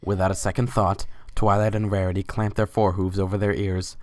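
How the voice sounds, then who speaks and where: A man's voice: deep voice, level tone, brisk, a little mellow, a little hiss or hum. A man giving a dramatic, scripted reading of a story as its narrator.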